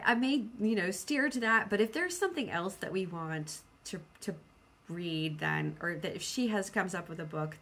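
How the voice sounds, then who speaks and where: A woman's voice: medium pitch, a bit bright. A woman talking casually to her viewers as a vlog host, rambling and thinking aloud as she goes. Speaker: host of a book channel.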